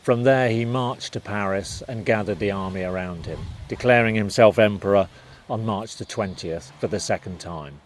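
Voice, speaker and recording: A man's voice: lowish voice, normal speed, slight background noise. A man reading scripted narration for a historical documentary.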